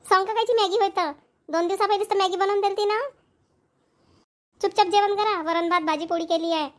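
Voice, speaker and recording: A woman's voice: very high-pitched, steady tone, a little fast, a bit bright. A woman playing a nagging village mother in a cartoon family comedy, with a Vidarbha Marathi accent. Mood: firm scolding. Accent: Maharashtrian, Vidarbha Marathi. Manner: nagging, scolding. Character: scolding village mother of bickering children.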